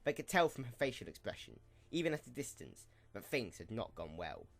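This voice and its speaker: A man's voice: medium pitch, very animated, fairly quick, gravelly, soft background music. A man reading scripted story narration as the narrator of an audio drama.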